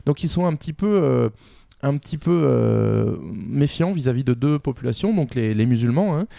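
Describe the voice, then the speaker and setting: A man's voice: medium pitch, lively intonation, normal speed, creaky, warm tone, no echo, studio quality. A man co-hosting a casual history discussion, explaining in a didactic but colloquial way.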